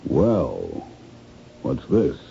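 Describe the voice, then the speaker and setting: An actor playing a calm, firm man who catches shoplifters, speaking in a measured way in a radio drama. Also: a bass voice, vocal fry, full character voice. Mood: firm, accusing. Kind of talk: 1970s radio drama acting. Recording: muffled, noisy old radio recording.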